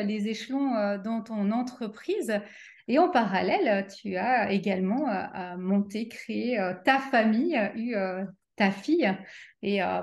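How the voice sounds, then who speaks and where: Chatty French woman host, halting. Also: fairly high pitch, a little mellow. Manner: hesitant, many fillers and restarts. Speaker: interview host and coach. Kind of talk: casual online interview.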